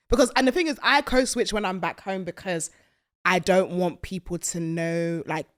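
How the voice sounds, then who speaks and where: A woman's voice: fairly low pitch, moderate pace. A woman from the UK hosting a casual interview, candid and teasing. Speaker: podcast host.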